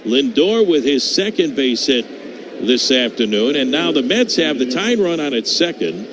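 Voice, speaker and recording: A man calling live baseball play-by-play for radio, lifting his voice on the action and settling back between pitches. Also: very animated, normal speed. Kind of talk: live radio sports commentary. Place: open-air ballpark broadcast booth. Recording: clear outdoor ballpark noise behind.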